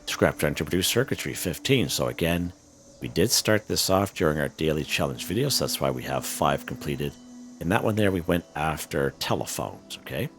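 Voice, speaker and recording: A man with an extremely deep, hoarse voice, as if he is losing it, talks through a game in a loose, chatty way. He leans on fillers and keeps checking in with "okay?" Faint music runs underneath his commentary. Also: expressive, moderate pace, fairly bright tone. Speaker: gaming channel host. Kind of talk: casual gameplay walkthrough commentary.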